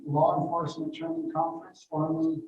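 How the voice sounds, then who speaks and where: A man's voice: on the high side, level tone, a little fast, narrow, tinny audio. Council member losing his voice. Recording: echoey hall from far off, faint noise.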